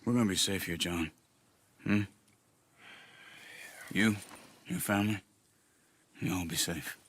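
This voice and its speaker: An actor playing a calm, watchful and loyal frontier gunman in a video game, measured and reassuring. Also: sits low, a little hiss or hum. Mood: reflective, reassuring. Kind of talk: cinematic video game acting.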